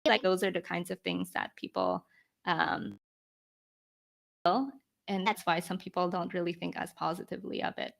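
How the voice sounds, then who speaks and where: A woman around twenty with a slightly low voice for her sex. She talks in a reflective, conversational way, as a guest answering interview questions, and peppers her speech with informal "like" and "you know". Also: fairly even intonation, normal speed, vocal fry, pauses often, a bit bright. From Philippines. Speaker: podcast guest, startup founder.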